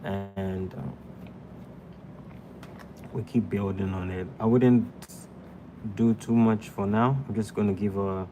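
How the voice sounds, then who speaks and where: A man's voice: lowish voice, fairly even intonation, slow pace, pauses often, dark tone, muffled recording, slight background noise. A young man from Ghana hosting a live drawing tutorial, chatting casually with viewers while he sketches at a desk indoors. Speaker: drawing livestream host.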